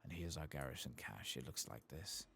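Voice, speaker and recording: A man softly murmuring casual, unhurried ASMR commentary over a video game, sometimes whispering, close to the microphone. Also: rumbling low, lively intonation, vocal fry, a little hiss or hum. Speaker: gaming ASMR streamer.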